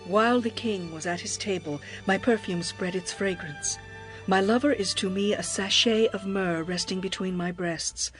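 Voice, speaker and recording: An actress playing a young, lovestruck bride, yearning and adoring, in a tender, poetic dramatized scripture reading. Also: female voice, mid-range voice, normal speed, faint music behind, lightly acted, rough recording. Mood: adoring, dreamy. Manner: expressive, poetic, tender delivery.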